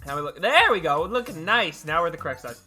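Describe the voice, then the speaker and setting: A man with a high, bright voice chats to his live stream audience. He is playful and talkative, often doubling back on a word or phrase. Music plays behind him as he talks. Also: very animated, fast talker. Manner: chatty, playful, repeats words and phrases. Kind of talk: casual chat with live stream viewers. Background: background music.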